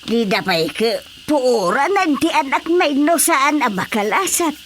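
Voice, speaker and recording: A woman's voice: high voice, very animated, measured pace. An actress playing a worried village woman in a radio drama. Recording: radio drama with faint noise.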